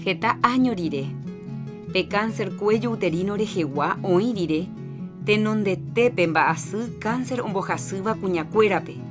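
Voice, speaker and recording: A woman with a Paraguayan accent reading a scripted public health announcement in a measured, informative way. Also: mid-range voice, lively intonation. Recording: music bed under voice.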